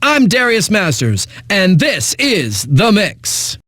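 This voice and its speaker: An actor playing a cocky, flippant eighties-hits radio DJ in a video game, with smug, punchy announcing and dramatic pauses. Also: male voice, sits high, wildly swooping pitch, fairly bright tone, breathy edge, no room sound, clean studio sound, exaggerated voice. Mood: hyped. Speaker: radio DJ, host. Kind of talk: 1980s music radio DJ patter.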